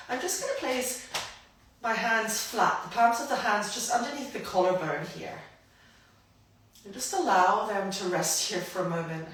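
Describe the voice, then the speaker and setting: A woman guiding a grounding movement practice, gentle and invitational, her voice a little croaky from hay fever. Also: mid-range voice, distant mic, slight background noise. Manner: gentle, invitational, unhurried guidance. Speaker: somatic practice guide. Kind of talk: guided movement instruction. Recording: roomy echo, voice from afar.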